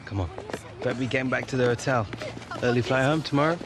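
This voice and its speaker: An actor playing an easygoing, earnest footballer in a video game cutscene. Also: male voice, mid-range voice, fast talker, noisy background, lightly acted.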